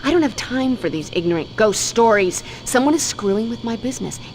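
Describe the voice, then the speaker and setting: A woman's voice: on the high side, expressive, brisk, slight background noise, rough recording. An actress playing a cruel, domineering female crime boss who talks with menacing contempt. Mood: menacing scorn.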